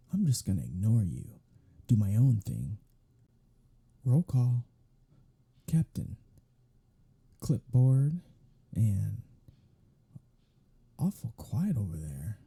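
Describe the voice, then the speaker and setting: A man playing a captive vampire in an audio roleplay, snarky, taunting and flirtatious, drawling sarcastic lines in a husky, gravelly voice. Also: mid-range voice, mellow, full character voice. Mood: defiant, irritated. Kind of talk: scripted audio roleplay monologue.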